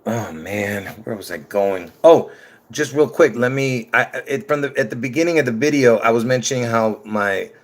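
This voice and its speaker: A man from Lebanon talks straight to his viewers in a loose, rambling monologue. His voice sits in an ordinary middle pitch. He stops and restarts his sentences often. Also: moderate pace. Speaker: conspiracy video creator. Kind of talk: rambling casual monologue to camera.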